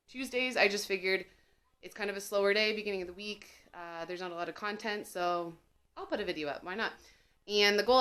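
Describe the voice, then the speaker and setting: A woman's voice: medium pitch, a bit bright. A young woman vlogging about learning to cook, chatting casually to camera with many fillers while getting over a cold. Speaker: cooking vlogger.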